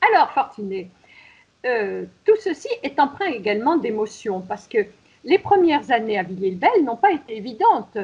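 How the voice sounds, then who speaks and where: A woman's voice: on the high side, big pitch swings, moderate pace, a little mellow, husky, lo-fi sound. A woman hosting a radio interview, summing up her guest's story and drawing her out. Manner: leading, summarizing the guest's story. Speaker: radio host.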